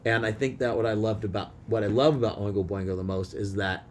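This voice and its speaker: Man chatting casually over faint rumble. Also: steady tone, quick pace. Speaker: podcast co-host; teacher. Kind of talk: casual chat.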